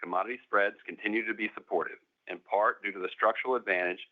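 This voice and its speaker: A man reading prepared remarks in a formal, measured way, as an executive presenting on an earnings call. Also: on the low side, steady tone. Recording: muffled narrow phone-line conference call audio.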